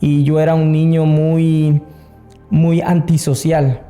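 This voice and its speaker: A man's voice: on the high side, monotone, takes it slowly, warm tone, soft background music. A man with a Salvadoran Spanish accent, an interview guest casually telling his personal story. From San Salvador, El Salvador. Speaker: interview guest, worship singer.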